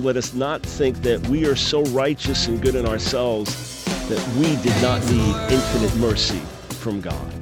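Emphatic fifties man hosting radio talk. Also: mid-range voice. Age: 50s. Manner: emphatic, full of rhetorical questions. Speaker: radio talk show host. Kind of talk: radio talk show monologue.

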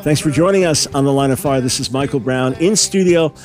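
A man hosting a radio talk show in a studio, friendly and quick with follow-up questions. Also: medium pitch, music in the background. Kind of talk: radio interview.

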